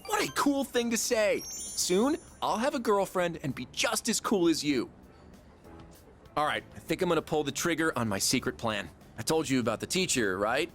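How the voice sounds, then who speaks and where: An actor playing an excitable, goofy high school slacker in a video game, slangy and melodramatic. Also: male voice.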